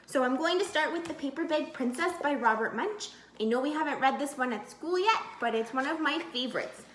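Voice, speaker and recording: A woman, an early childhood educator, reading a children's storybook aloud in an expressive, emphatic way. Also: high-pitched, brisk, slight background noise. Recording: echoey room.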